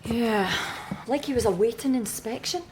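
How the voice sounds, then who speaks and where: A woman's voice: fairly high pitch, normal speed, background noise, lightly acted. A woman playing a capable young detective sergeant with a dry, understated manner in a radio crime drama. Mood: dry, observant.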